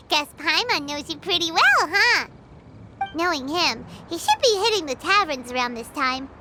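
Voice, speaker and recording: A woman voicing a tiny, cheeky floating fairy companion with a squeaky, childlike voice and a brash manner in an anime game. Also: expressive, a little slow, heavy background noise. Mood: eager, bossy. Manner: brash, third-person self-reference, teasing. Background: background music.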